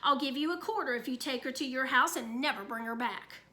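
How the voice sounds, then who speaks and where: A woman's voice: high voice, brisk, pushed and bright. A woman voicing a mischievous, jealous little boy, whiny and sing-song. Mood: playful.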